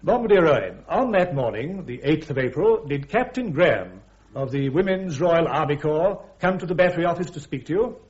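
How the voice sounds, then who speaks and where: A precise British army major, cross-examining formally and methodically. His voice sits fairly high and swings expressively through each question, on a slightly muffled radio drama recording. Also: sing-song, a little fast, a little mellow. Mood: brisk, probing. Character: brisk, precise army major prosecuting at a court-martial. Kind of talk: full-cast radio drama acting.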